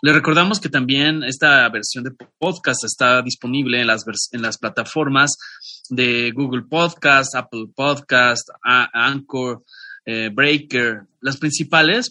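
Bright, dry-voiced Mexican man hosting. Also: mid-range voice, normal speed, no room sound. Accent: Mexican Spanish. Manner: discursive, with frequent restarts and asides. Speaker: podcast co-host. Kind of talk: casual chat introducing a guest.

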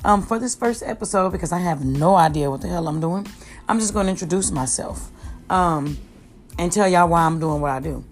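A woman with a raspy voice hosting her own podcast from home, rambling casually and full of slang. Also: on the low side, brisk. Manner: slangy, chatty, addresses listeners as y'all. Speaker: podcast host.

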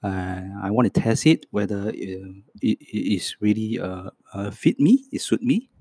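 A man in his fifties speaks English with a Hong Kong Cantonese accent, his first language being Cantonese. He talks very slowly and softly in a low voice, stumbling through repeated words and fillers. The sound is dry and close. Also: slightly rough, dry recording. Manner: slow, soft, halting with many fillers and repeats. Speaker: podcast host. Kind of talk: reading a self-introduction script.

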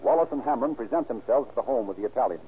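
An actor as a formal, dramatic narrator of a 1930s radio crime story. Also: male voice, mid-range voice, animated, racing, faint music behind, close to an everyday voice. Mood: urgent, formal. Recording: tinny old radio recording.

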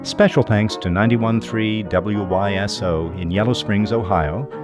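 A man hosting a podcast, reading scripted narration in a measured, formal way. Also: fairly low pitch, over music. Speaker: podcast host and author.

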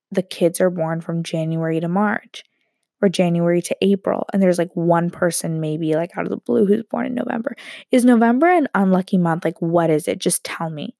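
Young woman with a cold, kinda throaty low voice, telling a story all over the place, cutting herself off and joking. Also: gravelly, no echo, studio quality. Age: young adult. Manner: animated, self-interrupting, joking asides. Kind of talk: casual rambling storytelling.

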